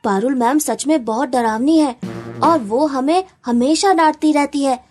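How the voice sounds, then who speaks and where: A woman's voice: sits high, unhurried, darkish tone, faint music behind. A woman voicing an earnest, anxious young schoolchild in a children's cartoon. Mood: worried, guilty.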